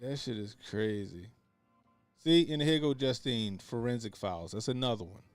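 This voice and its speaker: A man hosting a live reaction stream, cursing freely and laughing at what he watches. He drops into low mutters and then bursts out loud. His voice is dry and close, with faint music behind. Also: moderate pace, dry recording. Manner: crude, laughing, swings from mumbled asides to loud outbursts. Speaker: stream host. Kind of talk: casual reaction commentary.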